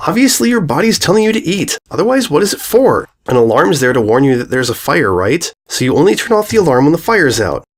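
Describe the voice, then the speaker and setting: A bossy little filly from a cartoon-pony storybook, acted out by a woman. The voice is pitched high and swings widely, with a snappish, impatient, defiant edge. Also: sing-song, quick pace, hardly any pauses.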